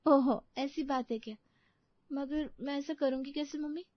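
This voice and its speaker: Lady playing a young bride in a story, eager and touchy, highish voice, dull dry audio. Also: fairly even intonation, normal speed, no room sound, close to an everyday voice. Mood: eager, nervous. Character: naive, eager, easily slighted young new bride.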